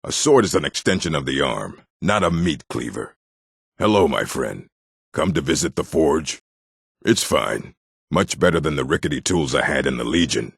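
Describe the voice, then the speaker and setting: An extremely low-voiced orc from a fantasy video game. He is proud, stern and blunt, with heavy gravel in the throat. The recording is close and dry. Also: lively intonation, moderate pace, dry recording, heavily acted. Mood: gruff, matter-of-fact. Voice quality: gravelly, husky. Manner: blunt, stern, clipped phrasing. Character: gruff proud orc warrior and blacksmith, adult male.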